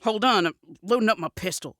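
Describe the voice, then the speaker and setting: Young western outlaw, female actor: defiant, sharp-tongued, mid-pitched, very dry sound. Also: fast talker, dry recording, natural voice. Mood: hesitant. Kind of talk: western audio drama acting.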